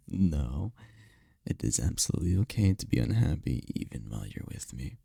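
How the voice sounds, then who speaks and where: A man playing a tender, teasing incubus demon boyfriend in an intimate ASMR roleplay, speaking in a breathy, soothing, soft-spoken way. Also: very animated, moderate pace, no echo, clean studio sound, heavily acted. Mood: affectionate, reassuring. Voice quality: breathy, gravelly low voice.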